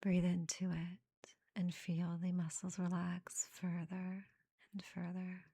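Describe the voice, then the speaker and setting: A woman guides a meditation in a soft, breathy near-whisper. Her delivery is very slow and evenly pitched, with gentle short phrases separated by pauses. The tone is dark and mellow, made to soothe. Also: monotone, dry recording, clean studio sound.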